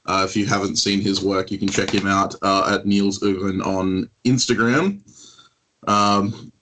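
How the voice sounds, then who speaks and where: A man co-hosting a casual podcast chat, talking in a relaxed, easygoing way with filler sounds. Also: lowish voice, a little slow, low-quality recording. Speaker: podcast co-host.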